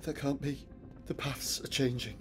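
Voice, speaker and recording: A man's voice: mid-range voice, fairly even intonation, music in the background, close to an everyday voice. Man acting a panicky, stammering young man in a horror game. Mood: urgent. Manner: halting, stammering, pleading. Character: panicky young man trapped in a nightmare underground.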